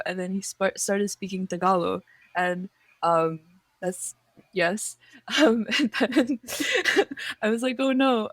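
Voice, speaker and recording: A young woman co-hosting a podcast, telling a story in a relaxed way with plenty of fillers. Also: mid-range voice, no echo. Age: young adult. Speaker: podcast co-host. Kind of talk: casual storytelling.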